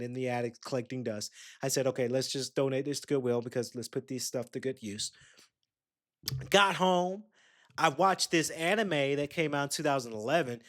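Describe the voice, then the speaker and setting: A young man, hosting a podcast and telling a rambling, chatty story straight to his listeners. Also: mid-range voice. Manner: rambling, chatty, addresses listeners directly with asides. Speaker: podcast host. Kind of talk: casual solo storytelling.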